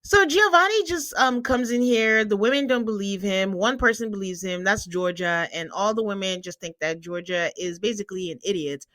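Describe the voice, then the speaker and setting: A chatty woman co-hosting a podcast in casual conversation, her English carrying traces of another first language. She talks in a middle pitch, tossing in fillers and quick laughs. The sound is close and dry, with no room around it. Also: fairly bright tone, no echo. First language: not English. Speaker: podcast co-host. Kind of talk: casual chat about a reality show.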